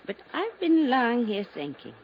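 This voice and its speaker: A fragile aging society lady with a creaky voice that rises and falls expressively. She sounds wistful and insistent, clinging to her illusions, in an old radio drama with a noisy recording. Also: fairly high pitch, wildly swooping pitch, measured pace, dull audio, exaggerated voice. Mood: wistful, hopeful. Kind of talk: vintage radio drama acting.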